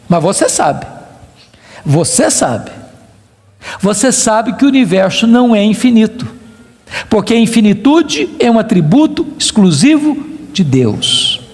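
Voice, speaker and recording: A Brazilian man preaches in a strikingly high-pitched voice that rings through a big, echoing hall. His delivery is oratorical and emphatic, built on rhetorical questions and repeated phrases, in the style of a sermon to a congregation. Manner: emphatic, oratorical, declamatory with repetition. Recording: long hall reverb.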